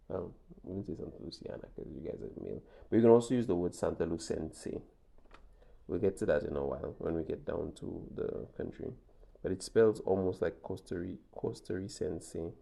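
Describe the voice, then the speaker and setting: A man's voice: low voice, gravelly, warm tone. A man teaching a Spanish lesson, patiently walking through examples and checking in with "okay?" as he goes. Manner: patient, repeats points, frequent "okay?" checks. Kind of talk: classroom lesson walkthrough.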